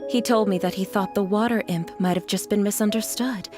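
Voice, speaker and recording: A woman playing a gentle, genteel mother and employer in a video game, speaking politely and in measured phrases over music. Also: medium pitch, fairly even intonation, lightly acted. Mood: wistful, explanatory. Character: refined, gentle, courteous mother and wealthy employer.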